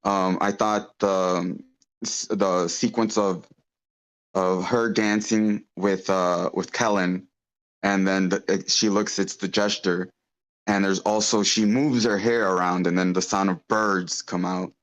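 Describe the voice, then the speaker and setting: Man with a low voice, hesitant and rambling, offering informal opinions at an online table read. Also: flat delivery, a little slow, poor audio. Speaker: script feedback participant at an online table read. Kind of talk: casual feedback chat. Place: online meeting.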